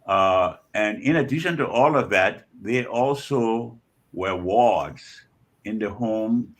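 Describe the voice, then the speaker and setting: An older man in his seventies or beyond with a Liberian accent, speaking reflectively as an interview guest in measured, deliberate phrases with pauses and repetitions. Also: on the low side, fairly bright tone. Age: 70s or older. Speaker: guest author and scholar.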